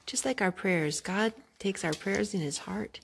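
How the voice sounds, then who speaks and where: A woman hosting a children's storytime, reading aloud in an expressive storytelling manner. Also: mid-range voice, unhurried, creaky, a little hiss or hum.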